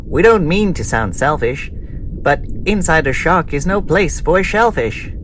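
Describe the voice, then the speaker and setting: A man plays a small, indignant storybook crab or cod in a raised, high voice. The delivery is protesting yet polite, with clear noise in the recording. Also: lively intonation, a little slow, a bit bright. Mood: refusing. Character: indignant crabs and cod refusing to be eaten. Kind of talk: children's storybook character voice.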